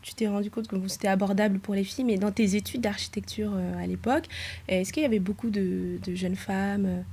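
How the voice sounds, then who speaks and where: A woman's voice: mid-range voice, fairly even intonation, a little fast, warm tone, husky. A woman hosting an interview podcast, asking short questions and often answering with "ok.". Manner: short questions, frequent "ok" backchannels. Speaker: podcast host.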